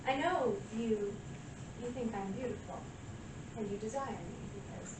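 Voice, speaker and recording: An actress plays a vain, deluded woman convinced of her own irresistible allure. She speaks in a deliberate, self-adoring stage manner with pauses. The sound is distant and very reverberant, with clear background noise. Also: medium pitch, expressive, close to an everyday voice. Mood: smug, seductive. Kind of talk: theatrical stage monologue. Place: small live stage venue with audience. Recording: echoey room from far off, noisy.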